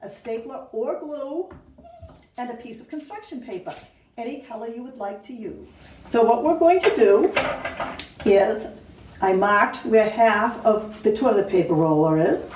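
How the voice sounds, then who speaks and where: A woman's voice: on the high side, lively intonation, unhurried, a little mellow. A woman teacher giving simple step-by-step craft instructions to young children. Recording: roomy, distant mic, faint noise.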